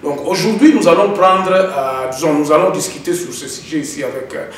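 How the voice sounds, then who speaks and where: A man hosting a political interview in a halting, wordy conversational way. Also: on the high side. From Democratic Republic of the Congo. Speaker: host. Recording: echoey, distant mic, faint noise.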